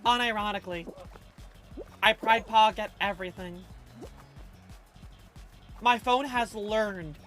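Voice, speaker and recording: A woman's voice: medium pitch, slow, pauses often, fairly bright tone. A woman livestreamer chatting casually with her viewers, reading chat aloud in a loose, rambling, slangy way. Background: faint background music.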